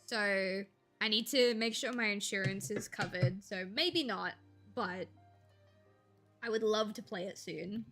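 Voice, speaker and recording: A young woman, chatting casually and rambling to viewers on a live stream, with a slight gravel in her voice when she talks quietly. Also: mid-range voice, measured pace, music in the background. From Australia. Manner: chatty, rambling, laughs easily, thinks aloud.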